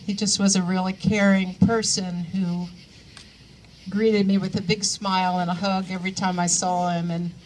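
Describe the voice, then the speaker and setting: A woman in her sixties giving a memorial tribute to a coworker, speaking haltingly with many pauses and fillers. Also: mid-range voice, flat delivery, slight background noise, low-quality recording. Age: 60s. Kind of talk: memorial remarks.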